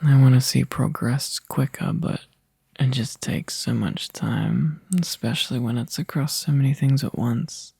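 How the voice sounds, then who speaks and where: Guy acting as a worn out tender boyfriend for asmr, really breathy and husky, slow halting talk, up close. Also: mid-range voice, fairly even intonation, darkish tone, no echo, full character voice. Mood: weary, apologetic. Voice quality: breathy, husky, often whispering. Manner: halting, drowsy, sighing delivery. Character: tired, overworked young boyfriend, tender and appreciative. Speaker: ASMR roleplay performer. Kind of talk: soft, intimate ASMR boyfriend roleplay. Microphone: close microphone, dry.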